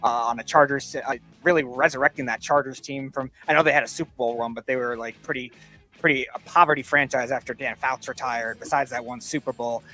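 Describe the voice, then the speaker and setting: A man's voice: medium pitch, steady tone, fairly quick, pushed and bright, soft background music. A man on a sports panel, debating players in casual talk.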